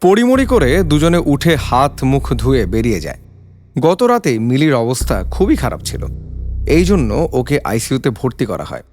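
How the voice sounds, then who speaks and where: A man's voice: mid-range voice, a little hiss or hum. A man giving a dramatic scripted narration of an audio story.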